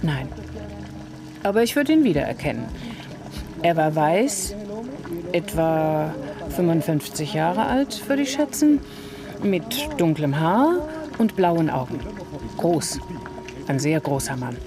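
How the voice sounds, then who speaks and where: An actress playing an observant, talkative neighbour giving witness testimony in a radio crime drama, telling it deliberately and with emphasis. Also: female voice, low-pitched, very animated, music in the background, full character voice. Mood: earnest, emphatic.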